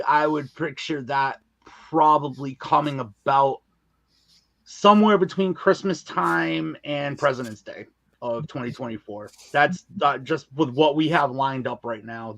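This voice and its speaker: Male podcast guest, medium pitch, colloquial and emphatic, very dry sound. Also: measured pace, a bit bright, no echo. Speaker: guest, audio drama writer and filmmaker. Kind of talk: casual podcast interview.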